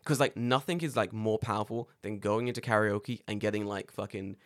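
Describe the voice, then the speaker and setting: A man with a slightly raspy, lowish voice rattles through a casual podcast chat at high speed. He tells stories by acting out what people said, swearing freely, recorded close and dry. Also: a little fast, dry recording. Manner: animated storyteller acting out quoted dialogue, swears freely. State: slightly raspy voice after karaoke. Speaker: podcast co-host.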